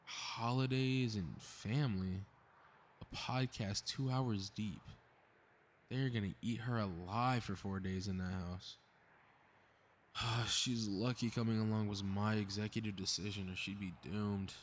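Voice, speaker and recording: A man's voice: on the low side, steady tone, a little slow, a little hiss or hum, natural voice. A man playing a cocky, snarky superhero in a scripted audio roleplay, muttering to himself with sarcastic exasperation. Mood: bored, grumbling. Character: cocky, snarky, meddling invisible male superhero grumbling to himself.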